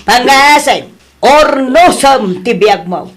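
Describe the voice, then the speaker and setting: A woman's voice: high voice, animated, takes it slowly, exaggerated voice. A woman acting a worried, reproachful middle-aged mother with a Filipino accent in a family audio drama. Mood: exasperated, worried. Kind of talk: Ilocano radio-style family drama acting.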